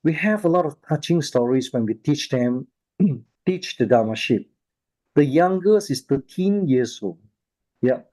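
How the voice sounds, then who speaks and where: A man with a Malaysian accent giving an informal talk with personal anecdotes, in halting, repetitive English phrasing. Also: medium pitch, lively intonation, mellow, rough recording. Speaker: volunteer speaker at an online Buddhist charity talk.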